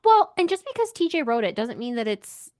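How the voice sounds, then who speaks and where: A woman's voice: fairly high pitch, sing-song, brisk, a little mellow. A woman co-hosting a book podcast in casual chat, speaking in an informal, opinionated and emphatic way.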